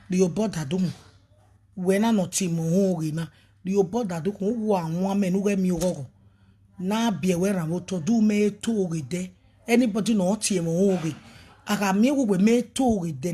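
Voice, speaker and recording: Husky-voiced Nigerian woman, emphatic. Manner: emphatic, switches between pidgin and a local language; swings from loud outbursts to a lowered voice. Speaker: prophetess. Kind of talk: live video monologue.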